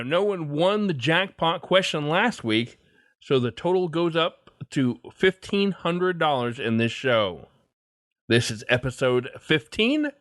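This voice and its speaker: A man's voice: on the high side, fairly bright tone, dry recording, studio quality. A man hosting an old time radio podcast, reading scripted announcements. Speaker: podcast host.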